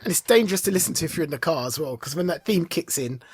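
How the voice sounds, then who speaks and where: A husky-voiced man chats as a podcast co-host. His delivery is informal, playful and excitable, like a fan bantering with a friend. The recording is close and dry. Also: on the high side, fast talker, dry recording. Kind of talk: casual chat, fan banter.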